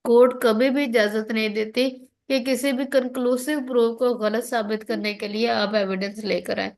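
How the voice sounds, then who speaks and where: A woman's voice: a little high, steady tone, normal speed, a little mellow. A woman with a Pakistani accent giving an online law lecture, explaining step by step, reading out articles and checking that students follow. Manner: instructional, checks understanding often, mixes in English legal terms. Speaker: law exam teacher.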